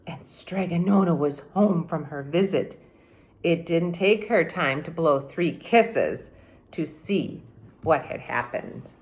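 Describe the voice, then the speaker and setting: A woman's voice: sits low, lively intonation, slow, a little mellow, poor audio. A woman in her fifties, a teacher reading a storybook aloud to children, dramatic, with explanatory asides. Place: small room at home, by a window.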